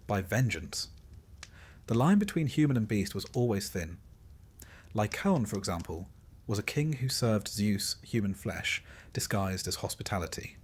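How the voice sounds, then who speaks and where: A man reading a scripted sleep story as narrator, calm and measured, with dry wry asides. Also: lowish voice, husky.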